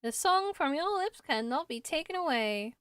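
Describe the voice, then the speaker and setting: A woman plays a bold little forest spirit from a fantasy game. The voice is high and the delivery florid and theatrical, as if every line were poetry. It is recorded very dry. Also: expressive, moderate pace, a bit bright, dry recording. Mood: dreamy, poetic. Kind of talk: amateur video game voice acting.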